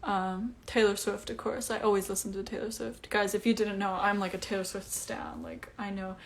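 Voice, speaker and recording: A young woman chats at home into her phone, reading out and answering viewers' comments. Her talk is loose and breezy, full of um's and like's, and her pitch sits right in the middle for a woman. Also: steady tone, normal speed. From Iceland. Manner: chatty and breezy, with many fillers. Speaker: singer hosting a livestream. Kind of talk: casual livestream chat answering comments. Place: at home, indoors.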